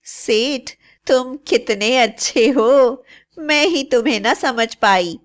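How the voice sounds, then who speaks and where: A woman's voice: fairly high pitch, slow pace, pitched above the performer's own voice. A woman voicing a young bride in a cartoon melodrama, sweet-talking and scheming, with a coaxing, dramatic delivery. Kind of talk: melodramatic cartoon story acting.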